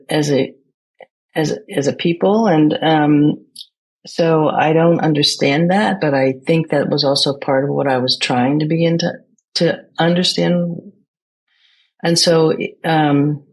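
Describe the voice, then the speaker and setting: A woman speaking as an interview guest, reflective and measured, pausing often as she thinks. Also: a bass voice, husky, low-quality recording. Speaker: interview guest, poet and theater maker.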